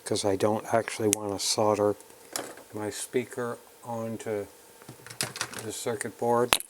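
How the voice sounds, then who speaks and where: A man's voice: lowish voice, little pitch movement, measured pace, noisy background. A man narrating a casual hands-on electronics tutorial, plainly explaining each step as he works. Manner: plain, explanatory, talking through each step while working. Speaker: host of an electronics kit build tutorial.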